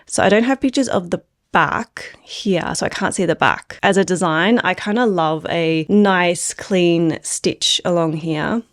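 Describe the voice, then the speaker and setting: A woman's voice: lowish voice, a little slow, no echo, clean studio sound. A woman and knitwear designer chatting casually about knitting patterns, her throat a little phlegmy from a cold, rambling with many filler words. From Australia. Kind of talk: casual pattern review chat.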